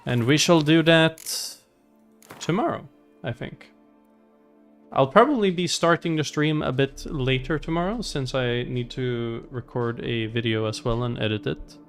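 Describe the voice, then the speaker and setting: A man chats casually through a live game stream, thinking aloud as he goes. His voice is medium in pitch with noticeable fry. Music plays quietly in the background. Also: measured pace. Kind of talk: casual live gameplay commentary.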